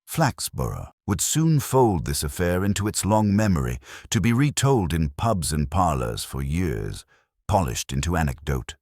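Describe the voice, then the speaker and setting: A man narrating a scripted mystery story in a measured, dramatic, radio-drama storytelling manner. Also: low-pitched, sing-song, a little mellow, no room sound, studio quality.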